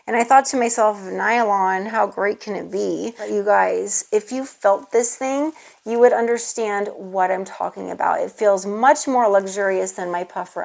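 A woman's voice: medium pitch, vocal fry. A woman in her thirties gushing to viewers as she unboxes handbags in a casual vlog, on a lapel mic indoors. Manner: gushing, enthusiastic, addresses viewers as "you guys". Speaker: luxury handbag vlogger. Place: indoor room with handbag shelves. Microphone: clip-on lapel mic.

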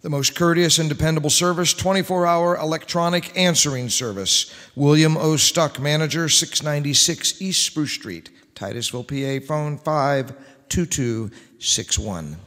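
A middle-aged American man announces like an old-time radio host, formal and showman-like. His voice sits fairly high and rings out from a stage microphone with long hall reverb. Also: moderate pace, a bit bright. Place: large church hall, on stage before a live audience. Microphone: microphone on a stand.

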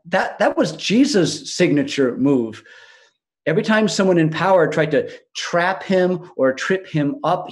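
A man acting an awestruck male disciple, telling the story in a reflective, wondering first-person monologue with emphatic pauses. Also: on the high side, darkish tone. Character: awestruck male disciple recounting his teacher. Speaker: actor in a biblical monologue.

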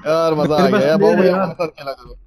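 A man's voice: fairly high pitch, quick pace, loud music behind the voice. A young man talking casually with teammates in an online game. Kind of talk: casual gaming chat.

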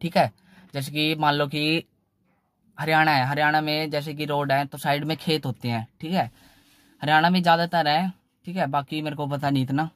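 A young man talks in a slightly high voice, and the sound is close and dry inside a small car. He chats loosely and circles back on his points, adding "theek hai" after nearly every sentence, recorded on a phone. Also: steady tone, moderate pace, fairly bright tone, no room sound. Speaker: car channel host. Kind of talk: casual how-to talk in a parked car.

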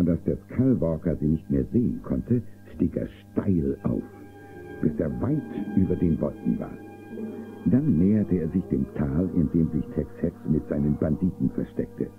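A man narrating a scripted German children's audio drama. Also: very deep voice. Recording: tinny old cassette, music underneath.

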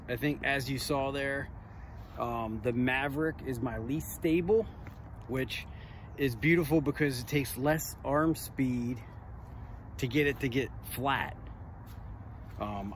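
A man in his forties giving a relaxed, plain-spoken disc golf lesson outdoors in an open field, recorded on a nearby camera. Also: mid-range voice, a little hiss or hum. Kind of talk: outdoor instructional talk.

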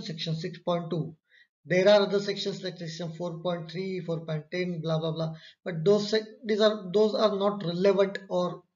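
A man's voice: high voice, darkish tone. A man explains slides in an online course. He talks through each point and repeats key phrases for emphasis. The recording is slightly dull and lo-fi. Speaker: instructor. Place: indoor room, webcam overlay on slides.

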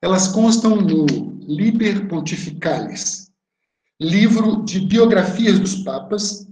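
A man's voice: high-pitched, expressive, slow pace, darkish tone. A man with a Brazilian Portuguese accent gives an art history lecture over shared slides on a video call, first reading a prepared text, then explaining the slides with some hesitant fillers. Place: home room on a video call. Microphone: webcam or laptop mic on a video call. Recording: roomy video call audio.

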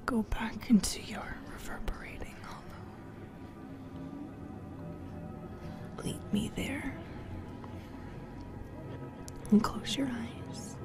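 A woman voicing a possessive sleep paralysis demon in an ASMR horror roleplay, speaking in a breathy, intimate whisper with menacing tenderness. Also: on the high side. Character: sinister, possessive female sleep paralysis demon, teasing and soothing.

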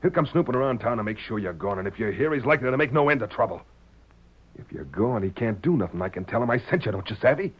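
An actor playing a sly, excitable gunman henchman with an American Western drawl and a folksy, blustering way of talking, in a 1930s radio western. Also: medium pitch, fast talker, breathy edge. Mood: placating, urgent. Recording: muffled old 1930s radio broadcast.

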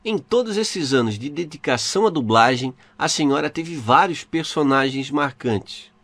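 A man's voice: on the high side, lively intonation, moderate pace, a bit bright, no room sound. A man with a Brazilian accent asking prepared interview questions in a formal, respectful manner.

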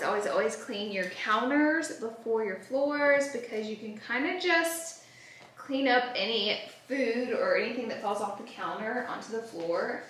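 A woman in her thirties chatting straight to viewers in a bubbly, casual vlog style while moving around a bright home, recorded on a camera mic at a distance. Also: on the high side, slight background noise. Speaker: lifestyle vlogger. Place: bright open-plan home, living room and kitchen. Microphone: camera mic, filmed at a distance while moving around. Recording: roomy echo from a large room.

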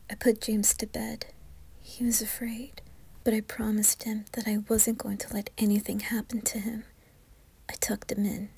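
A woman narrating a scripted horror story in the first person, theatrical and deliberate, turning breathy when hushed. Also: mid-range voice. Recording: music behind the voice. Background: music.

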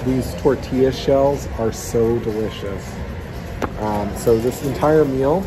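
A man's voice: mid-range voice, slow pace. A man in his thirties doing a casual food review vlog, chatting and humming between bites while he eats. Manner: chatty, eating between lines with satisfied hums. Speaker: food vlogger. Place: indoor restaurant dining room. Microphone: phone held at arm's length. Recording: phone audio with clear restaurant noise. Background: restaurant noise.

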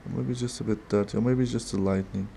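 A man with a non-native accent opening trading cards at a desk, talking casually and haltingly with small laughs. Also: fairly low pitch, steady tone, gravelly, mellow, a little hiss or hum. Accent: non-native English. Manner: slow, halting, chatty with little laughs. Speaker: card-opening channel host. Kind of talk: casual card-opening commentary. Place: desk in a dim room.

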